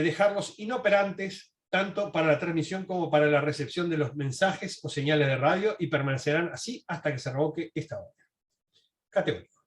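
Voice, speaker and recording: A man giving a history presentation, reading and commenting in a halting, self-correcting way. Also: sits high. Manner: halting, with frequent self-corrections and throat clearing. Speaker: lecturer. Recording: echoey, roomy sound.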